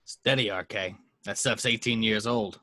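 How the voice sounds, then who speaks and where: A man's voice: on the low side, sharp tone. A man playing a cool, sardonic detective with terse, dry delivery in an amateur script read. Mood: cool, menacing. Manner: terse, dry, unhurried sarcasm.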